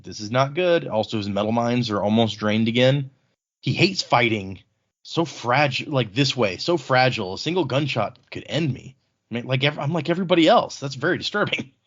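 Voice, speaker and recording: A male podcast host talks through a novel in a loose, conversational way. His voice sits at a medium pitch and he drops in wry, colloquial asides. The recording is a bit dull and lo-fi. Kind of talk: casual chat, informal book recap.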